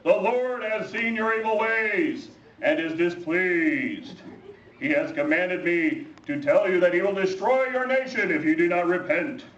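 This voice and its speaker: A performer voicing a prophet who preaches in a solemn, booming voice during a children's church skit. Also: unhurried, a bit bright, natural voice. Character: prophet given a bold new preaching voice. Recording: echoey, distant, muffled.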